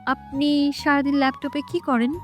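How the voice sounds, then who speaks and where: High girlish pitch, woman acting feisty sweet young woman, pouty, romantic audio drama, music behind. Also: little pitch movement, moderate pace, natural voice. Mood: pouty, annoyed.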